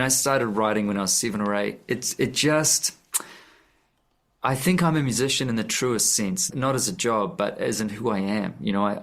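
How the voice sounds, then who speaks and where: A man's voice: on the low side, a bit bright. A man, an author and musician, speaking earnestly in a radio interview, often restarting his sentences as he searches for words. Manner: earnest, searching, frequent restarts and self-corrections. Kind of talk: reflective conversational interview. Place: radio studio.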